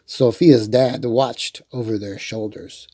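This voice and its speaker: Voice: medium pitch, fairly even intonation, takes it slowly, dark tone. A storyteller reading a children's picture book aloud in a playful way, with chatty asides. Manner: playful, acts out dialogue.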